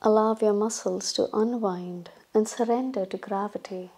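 A woman yoga instructor leading a guided relaxation meditation in a calm, soothing, instructional manner, leaving long pauses between phrases. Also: medium pitch, breathy edge.

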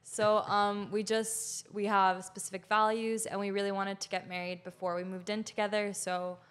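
A woman's voice: mid-range voice, level tone, normal speed, clean tone. A woman in her twenties from Canada, a chef and food creator, talking on a podcast in a friendly, earnest way with plenty of "um"s. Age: 20s. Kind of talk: casual interview chat. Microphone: handheld mic.

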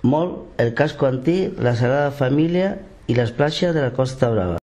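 A man from the Seville area reads a short self-introduction as a student in a plain, steady manner. His voice is dark and mellow. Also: medium pitch, normal speed. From province of Seville. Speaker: Catalan course student.

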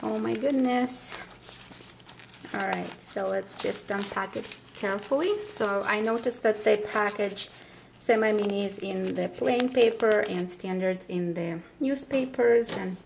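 A woman giving casual unboxing commentary as a vlogger, speaking haltingly in short phrases with pauses, recorded indoors at a table. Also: medium pitch, vocal fry, darkish tone, rough recording. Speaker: vlogger presenting her plant unboxing. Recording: background noise with paper crinkling and clicks. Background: crumpling paper packaging.

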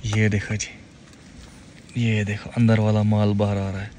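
A man's voice: on the low side, monotone, measured pace, darkish tone. Young man vlogging, noisy recording. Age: young adult. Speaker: camping vlogger. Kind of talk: casual outdoor vlog, talking to camera and companions. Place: outdoor campsite and inside a tent. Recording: noisy outdoor phone recording. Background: clear ambient outdoor noise.

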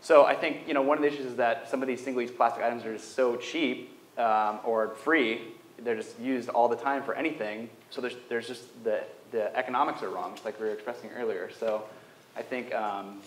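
A man's voice: mid-range voice, fairly even intonation, fairly quick, slight background noise. A young man on a panel, answering earnestly and thoughtfully with frequent ums. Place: large wood-paneled lecture hall. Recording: roomy hall sound, faint ambience.

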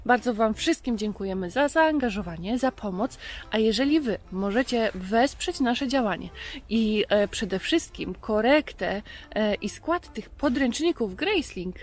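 A woman's voice: fairly high pitch, lively intonation, unhurried. A woman hosting a children's programme, talking to young viewers.

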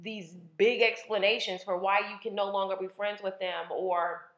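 A woman's voice: mid-range voice, fairly even intonation, normal speed, fairly bright tone. Thirties woman hosting a solo podcast; candid and chatty, recorded in a roomy space. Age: 30s. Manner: chatty, candid, uses filler "like" and "um". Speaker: podcast host. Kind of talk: casual solo podcast monologue. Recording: roomy, dull lo-fi sound.

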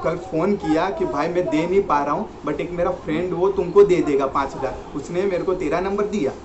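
A man's voice: a little high, brisk, crowd noise, close to an everyday voice. A young man playing a pushy, threatening street tough on a prank call, curt and insistent, using street slang. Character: pushy male street tough demanding money. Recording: dull, noisy room recording.

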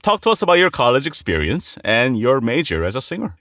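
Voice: mid-range voice, huge pitch swings, fairly quick, fairly bright tone, no echo, clean studio sound. A podcast host interviewing casually, with a playful, reactive and exclamatory way of talking.

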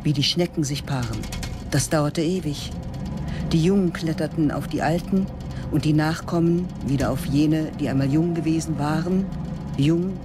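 A woman's voice: deep voice, moderate pace, close to an everyday voice. A woman playing a stern older biology teacher. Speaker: narrator and lead. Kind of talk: radio play narration and acting. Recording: radio play with music underneath.

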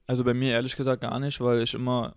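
A man's voice: medium pitch, monotone, fast talker, smooth, no echo, studio quality. A man from Berlin chatting casually and informally as a guest in an interview. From Berlin, Germany. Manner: informal, colloquial German. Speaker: podcast guest, former footballer.

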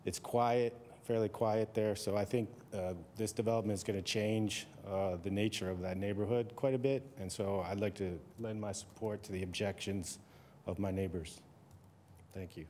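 A local man stands at the podium for public comment, his voice low and mellow. He speaks haltingly and leans on fillers, with a faint hiss behind him. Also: fairly even intonation. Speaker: resident giving public comment. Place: council chamber.

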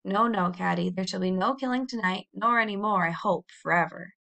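A woman's voice: medium pitch, brisk, gravelly, fairly bright tone. A woman voicing a stern yet loving pioneer father in a storybook, with an authoritative, grave manner. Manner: authoritative, snapping, then grave.

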